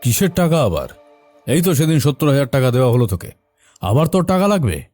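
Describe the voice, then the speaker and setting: A man's voice: medium pitch, sing-song, fairly quick, a little mellow, no echo, soft background music, natural voice. A man playing an irritated, suspicious middle-aged father in an audio detective drama, with an accusing manner. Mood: angry, suspicious. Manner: accusing, interrogating. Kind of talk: audio detective story acting.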